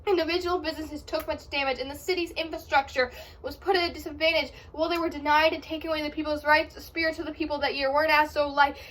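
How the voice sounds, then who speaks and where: Teenage girl for spoken-word slam poetry: very high, bright voice, impassioned and declamatory. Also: flat delivery, quick pace, a little hiss or hum. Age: teenager, about 14. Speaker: amateur slam poet. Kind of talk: slam poetry recital, rhythmic and rhyming.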